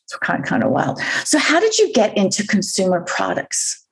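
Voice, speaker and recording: A woman's voice: mid-range voice, wildly swooping pitch, moderate pace, vocal fry, slightly rough, rough recording. A woman from New York hosting an interview in a curious, chatty way. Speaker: host. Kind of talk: conversational interview.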